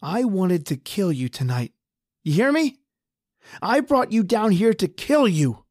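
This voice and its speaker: A man's voice: high voice, very animated, unhurried, a little mellow, dry recording, clean studio sound, heavily acted. A man playing a desperate, injured husband trapped underground in a horror radio drama. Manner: pleading, ragged, then defiant.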